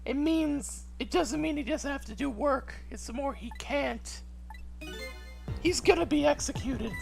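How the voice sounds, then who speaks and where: A woman voicing a brash, excitable, defensive female detective in a mystery game. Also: high-pitched, unhurried, creaky, soft background music, heavily acted. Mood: urgent. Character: brash, headstrong young female detective, highly strung.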